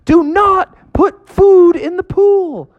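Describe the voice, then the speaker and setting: Storyteller putting on a super high squeaky lifeguard lady, bossy, stretches out every rule slow. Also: muffled recording. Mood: stern. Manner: stern, drawn-out, quotes rules. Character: strict female lifeguard, bossy rule-quoter.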